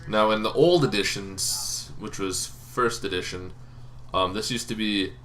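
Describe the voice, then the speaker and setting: Lowish, bright-voiced man chatting casually. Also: unhurried. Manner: relaxed, rambling, many ums and restarts. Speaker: tabletop game video host. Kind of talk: casual explainer talk.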